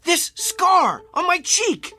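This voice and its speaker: An actor playing a distraught husband in a radio drama, agitated, insistent and pleading. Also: male voice, extremely high pitch, huge pitch swings, slow pace, sharp tone, soft background music, full character voice. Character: distraught husband convinced his face is a stranger's.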